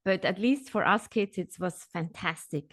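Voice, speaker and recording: A middle-aged woman who grew up in Switzerland, a podcast guest telling her story in an animated, upbeat way. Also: on the low side, normal speed, dry recording, studio quality. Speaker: podcast guest, executive coach. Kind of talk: conversational interview.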